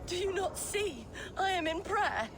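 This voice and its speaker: A woman's voice: very high voice, lively intonation, a little slow, music in the background, full character voice. An actress playing a grieving, devout medieval woman with a plaintive, solemn delivery. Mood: distraught, indignant. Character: grieving, devout medieval sister, indignant when disturbed. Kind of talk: video game character acting.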